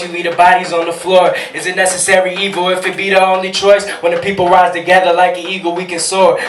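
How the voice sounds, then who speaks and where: A man delivers rhyming spoken word poetry in a declamatory, rhythmic way. His pitch sits high and stays fairly even. The recording is roomy, with clear noise around him. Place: live stage performance at a market venue. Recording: echoey, distant, noisy event recording.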